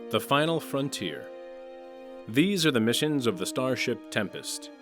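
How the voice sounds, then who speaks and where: A man narrates a scripted show opening over prominent music. His voice sits in the middle range, with measured, announcer-like phrasing. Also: mid-range voice, big pitch swings. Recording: music under the voice.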